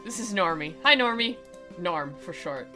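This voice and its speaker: Sarcastic, bright-voiced woman doing game commentary. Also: lowish voice, expressive, moderate pace. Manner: sarcastic, playful, mocking. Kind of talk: sarcastic commentary and dramatic reading of a visual novel. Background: faint music.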